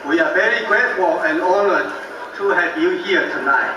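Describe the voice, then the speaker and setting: An older man with a heavy Taiwanese accent, a native Taiwanese speaker, gives a slow, halting father-of-the-bride wedding toast full of self-deprecating humor. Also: high voice, lo-fi sound, crowd noise. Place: large banquet hall. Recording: echoey banquet hall from far off with faint background noise.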